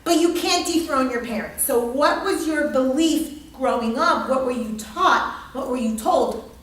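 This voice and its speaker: A woman's voice: high-pitched, measured pace. A woman leading an interactive psychology lecture, emphatic and probing, repeating phrases and speaking informally and bluntly. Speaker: lecturer and group leader. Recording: echoey room, distant mic, faint noise.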